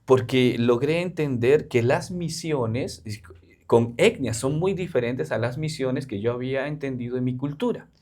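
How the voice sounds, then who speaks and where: Peruvian man, missionary interview guest, earnest, fillers, mid pitch. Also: a little slow, a little mellow. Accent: Peruvian Spanish. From Peru. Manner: earnest explaining, with frequent fillers. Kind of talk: interview conversation.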